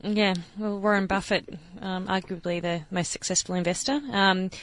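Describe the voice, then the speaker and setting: A woman's voice: mid-range voice, little pitch movement, moderate pace, a bit bright, no echo, low-quality recording. A woman co-hosting a radio talk show, responding to a caller in a conversational, affirming way.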